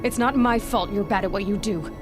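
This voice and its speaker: An actress voicing a fierce young woman hunter in a video game, direct and sharp-tongued. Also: mid-range voice, brisk, natural voice. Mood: defiant. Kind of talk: video game cutscene acting. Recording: game audio with music underneath.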